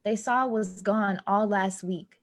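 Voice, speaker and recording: An actress playing an anxious, plainspoken young building employee in a naturalistic play reading. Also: female voice, mid-range voice, steady tone, measured pace, natural voice. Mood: worried, resigned. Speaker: actor in a staged play reading. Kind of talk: naturalistic stage dialogue reading.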